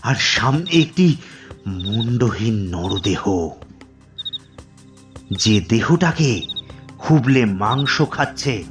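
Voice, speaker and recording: A man narrating a scripted horror story dramatically, in deliberate, suspenseful phrases with pauses. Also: on the low side, very animated, music in the background.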